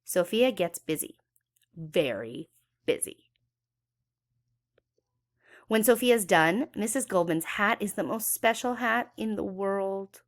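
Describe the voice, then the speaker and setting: A woman's voice: medium pitch, animated, slow pace, long pauses. A woman reading a children's story aloud expressively, with dramatic emphasis for young listeners. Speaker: children's book read-aloud educator.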